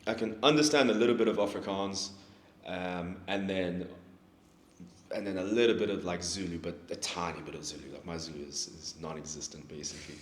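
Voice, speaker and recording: A young man from Africa, talking through his story in a laid-back, halting way as an interview guest. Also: deep voice. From South Africa. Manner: laid-back, hesitant, restarts often. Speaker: guest, fighter. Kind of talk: casual interview. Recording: echoey room with faint noise.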